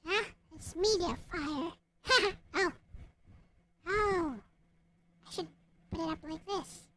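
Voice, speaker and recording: A woman's voice: extremely high pitch, takes it slowly, bright, forceful tone, slight background noise. A woman speaking in an informal, homemade way as she presents and reads a storybook-style visual novel. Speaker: visual novel creator and reader. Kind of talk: casual chat and storybook narration.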